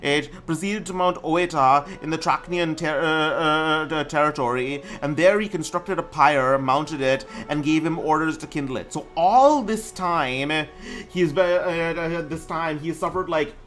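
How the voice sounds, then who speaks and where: A man's voice: on the high side, a little slow, a bit bright. A man streaming a game, reading aloud and commenting casually, often stumbling over words and repeating himself.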